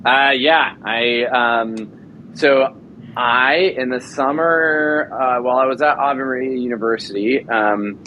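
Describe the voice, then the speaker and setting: A man in his thirties with a mid-range voice, speaking as an interview guest. He tells his stories eagerly and fills pauses often. A faint low rumble sits under the recording. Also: fairly even intonation, muffled recording. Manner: enthusiastic storyteller with frequent fillers. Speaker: actor and audio drama producer. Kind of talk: casual interview chat.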